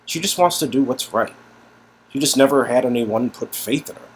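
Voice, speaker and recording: A man's voice: mid-range voice, normal speed, breathy edge, lightly acted, rough recording. A man acting a loyal, earnest male friend in a dialogue reading of an adventure story game. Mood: earnest, gentle.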